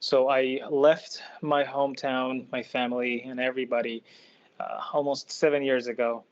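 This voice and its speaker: A man from Iran telling his own story as a podcast guest, in a halting, thoughtful way. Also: medium pitch, little pitch movement. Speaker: podcast guest, product designer. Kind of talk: personal storytelling in an interview. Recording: dull lo-fi close dry sound.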